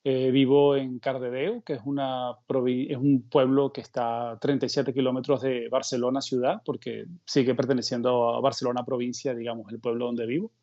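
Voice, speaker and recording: A middle-aged Venezuelan man talking as an interview guest in a relaxed, meandering way, thinking aloud mid-sentence. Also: medium pitch, normal speed, few pauses, lo-fi sound. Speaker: guest illustrator. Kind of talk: casual conversational interview. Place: remote call from home abroad.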